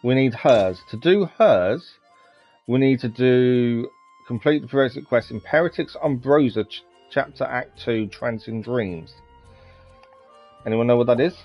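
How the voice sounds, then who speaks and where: Male game streamer, casual commentary, thinking out loud, hesitant, muffled lo-fi mic, music behind. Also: medium pitch, a little slow. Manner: musing aloud, hesitant. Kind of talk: casual live gameplay commentary.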